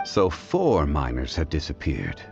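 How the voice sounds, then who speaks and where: Deep-voiced, composed scholarly gentleman. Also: huge pitch swings, creaky, exaggerated voice. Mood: pensive, analytical. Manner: measured, formal, scholarly. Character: calm, erudite gentleman expert, composed and deliberate. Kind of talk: video game voice acting. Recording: slightly dull audio with music.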